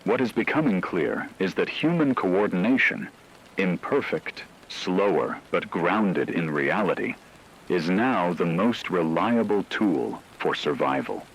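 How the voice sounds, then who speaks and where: A man performs a solemn male news anchor in a dystopian radio drama. His voice is deep and husky, and he delivers scripted crisis bulletins in a measured, grave manner. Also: animated, full character voice, low-quality recording.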